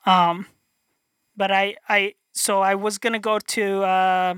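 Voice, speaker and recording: A young man from North Dakota with a high voice, chatting as an interview guest, rambling and self-deprecating with many restarts. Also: flat delivery, slow, fairly bright tone, clear, resonant tone, no echo, studio quality. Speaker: illustrator guest. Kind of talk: casual interview chat.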